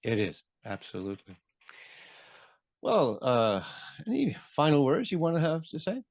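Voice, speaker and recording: A man's voice: fairly high pitch, wildly swooping pitch, moderate pace, a little mellow, no echo, clean studio sound. A man speaking conversationally as the host of an interview show.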